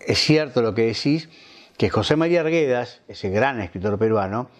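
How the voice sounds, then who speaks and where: Rioplatense man interviewing, medium pitch. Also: expressive, moderate pace. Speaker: interviewer on a literary television programme. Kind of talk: conversational interview.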